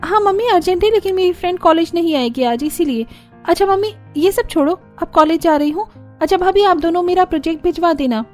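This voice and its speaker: A woman's voice: extremely high pitch, fast, faint music behind, pitched above the performer's own voice. A woman voicing a sly, nervous young college-going daughter in a cartoon family story, evasive and whiny.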